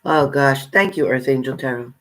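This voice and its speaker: A man with a mid-pitched voice hosts an informal live stream. He talks casually and directly to the people watching, in an everyday conversational way. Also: moderate pace, a bit bright. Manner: informal, addresses viewers by name. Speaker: livestream host. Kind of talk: casual live chat with viewers.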